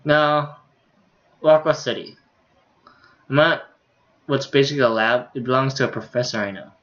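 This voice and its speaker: A man's voice: medium pitch, normal speed, a bit bright, muffled recording. A man, a game streamer casually reading visual novel text and dialogue aloud.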